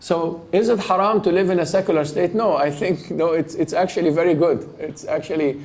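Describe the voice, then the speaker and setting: A man giving a public lecture in a hall, with a voice on the higher side. He speaks thoughtfully and often restarts a phrase before finishing it. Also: steady tone, brisk, a little mellow.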